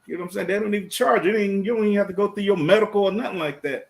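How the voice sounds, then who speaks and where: A man's voice: high-pitched, very fast, creaky. A man hosting a casual talk show, giving earnest advice in a colloquial way. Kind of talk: casual chat.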